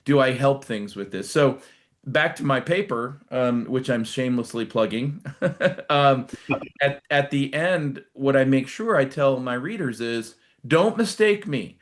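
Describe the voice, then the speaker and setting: A man's voice: medium pitch, animated, a little slow. Middle aged or older american guy, guest pundit on a livestream, explains stuff carefully, punches key words. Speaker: guest commentator, retired military. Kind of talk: live political commentary, conversational.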